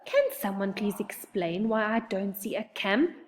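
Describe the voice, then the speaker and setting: A woman voicing an awkward young bigfoot boy, squeaky, whiny and self-conscious. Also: steady tone, measured pace, a little hiss or hum, close to an everyday voice. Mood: questioning. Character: awkward, anxious, sulky young bigfoot boy, huge for age.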